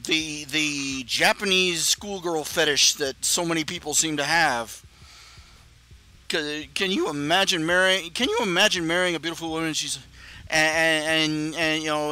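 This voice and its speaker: A man's voice: fairly high pitch, pushed and bright. A man hosting a live stream, talking in a casual rambling monologue with many stammering restarts and chuckling asides.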